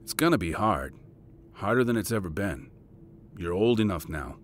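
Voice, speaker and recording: Man playing a low-voiced, fond military father. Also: animated, a little hiss or hum. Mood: firm, encouraging. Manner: measured, fatherly, encouraging.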